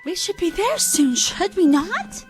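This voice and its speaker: A woman's voice: extremely high pitch, sing-song, measured pace, soft background music, full character voice. A woman playing an earnest, anxious young companion with stiffly precise diction in an audio drama. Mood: dry.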